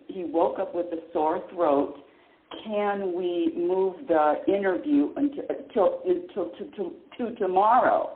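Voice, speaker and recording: A woman hosting a live call-in spirituality radio show, chatting casually and rambling with frequent restarts. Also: on the low side. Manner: chatty, rambling, restarts often, laughs easily. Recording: echoey, tinny phone-line sound.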